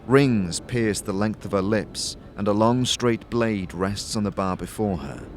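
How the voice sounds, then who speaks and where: A man narrating a scripted fantasy audio drama in a measured, descriptive, theatrical manner. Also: fairly low pitch, a little hiss or hum. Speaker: narrator and game master.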